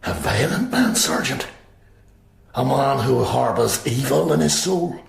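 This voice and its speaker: An actor playing a pious, defiant prisoner in a radio crime drama, measured and preachy with a taunting edge. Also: male voice, mid-range voice, expressive, vocal fry. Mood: quietly menacing. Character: pious, defiant male IRA prisoner, quietly menacing. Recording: roomy, echoing interior.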